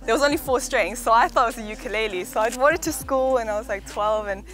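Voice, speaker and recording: A woman's voice: a little high, fast talker, fairly bright tone, soft background music. A young woman raised in Hong Kong with a slightly American accent, talking casually and reflectively about her childhood in a garden interview. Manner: chatty, reflective, laughs easily. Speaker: interviewee, singer-songwriter. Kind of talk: relaxed documentary interview. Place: outdoors in a back garden.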